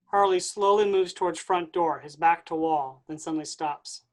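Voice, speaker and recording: A reader voicing the stage directions of a staged play reading in a plain, even way. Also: medium pitch, moderate pace.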